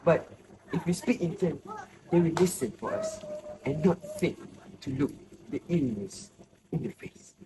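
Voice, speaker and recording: A man acting an anxious, hesitant old man in a student stage play, speaking in halting, deliberate phrases. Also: on the high side, very animated. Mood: fearful, brooding. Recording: noisy amateur recording with ambient sound.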